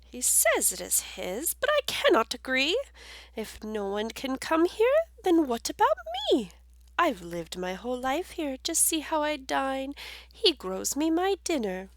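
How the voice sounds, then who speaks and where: A woman voicing a small rabbit in a storybook, squeaky and indignant. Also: very animated, unhurried, no echo, full character voice.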